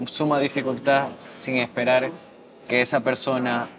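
A man's voice: mid-range voice, level tone, a little slow. Young Maracaibo man, earnest, crowd behind. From Maracaibo, Venezuela. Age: young adult. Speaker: university student guest. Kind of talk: interview answers. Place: bright room at a dining table. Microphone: lapel mic.

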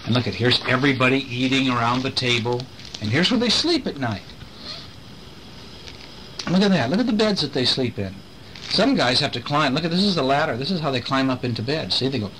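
Animated bright-voiced man reading a bedtime story. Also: medium pitch, brisk, low-quality recording. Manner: expressive, explains pictures and asks the child questions. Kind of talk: reading a picture storybook aloud with chatty asides to a child. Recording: home recording with faint background noise.

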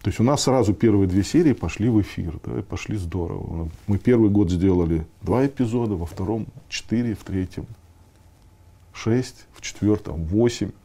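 A man in his sixties giving conversational interview answers, explaining haltingly and posing rhetorical questions. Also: fairly low pitch, vocal fry, dark tone. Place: meeting room, indoors.

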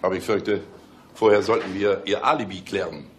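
An actor playing a commanding, sardonic police chief inspector in a German radio crime play, mock-polite and ironic. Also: male voice, low voice, steady tone, brisk, slight background noise, close to an everyday voice. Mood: ironic, pressing.

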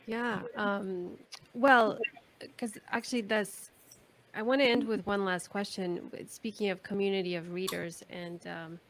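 A woman's voice: medium pitch, steady tone, a little slow, a bit bright, slight background noise. A woman moderating a video call panel, casual and restarting her sentences as she puts a question.

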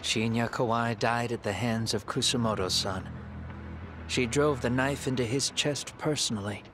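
An actor playing a grave, calculating middle-aged man in a video game drama, speaking in a measured, deliberate way. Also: mid-range voice, steady tone, music in the background, natural voice. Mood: solemn. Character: composed middle-aged male fugitive avenger, calculating and grave.

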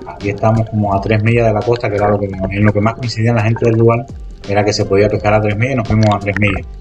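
A man's voice: lowish voice, flat delivery, very fast. A man narrating his own diving and spearfishing footage in a casual voice-over, rambling and speaking straight to his viewers. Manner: rambling, restarts often, addresses viewers directly. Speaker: spearfishing vlogger. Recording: voice-over with loud background music.

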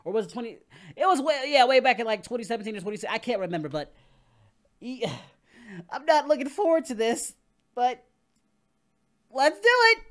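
A performer voicing an excitable young male anime hero, hosting a reaction video with melodramatic yelling and wailing. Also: medium pitch, normal speed, pauses often. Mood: outraged. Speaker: reaction channel host. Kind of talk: over-the-top reaction commentary. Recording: music playing behind.

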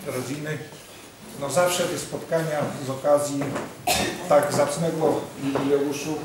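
A man in his fifties giving a solemn, deliberate ceremonial speech as a town mayor, often repeating phrases. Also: a little high, steady tone. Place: large hall at a public ceremony. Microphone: distant camera microphone. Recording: echoey hall from far off, noisy.

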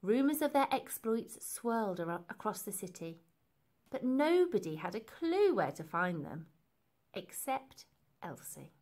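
Slow, very expressive woman storyteller. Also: medium pitch. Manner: deliberate, emphatic. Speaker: storybook reader. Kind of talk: expressive children's story narration.

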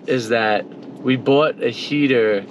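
A man's voice: mid-range voice, slow, noisy background. A young man bantering casually on camera inside a small camper van, laid-back and joking, with music underneath. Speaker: van life vlogger. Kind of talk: casual vlog chat. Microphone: handheld action camera at arm's length.

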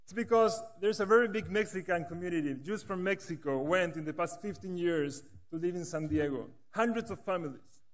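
Man, thirties, high voice, earnest podium speech, conference hall. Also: fairly quick. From Latin America. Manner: earnest storytelling with gestures. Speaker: rabbi, branch director. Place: large conference hall stage. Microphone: podium microphone.

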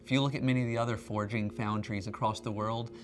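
A man's voice: fairly low pitch, fairly even intonation, fast. Guy in his 30s walking you through stuff, promo interview style, music playing behind him. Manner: conversational, explanatory. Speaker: company R&D representative, factory tour presenter. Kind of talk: explanatory promotional talk. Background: background music.

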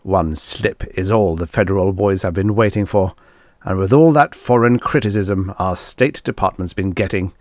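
Man as a grim low-voiced producer, harsh and calculating. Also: moderate pace, no room sound, studio quality, lightly acted. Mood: grim resignation. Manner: harsh, dark, solemn. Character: grim, domineering male show producer, coldly calculating.

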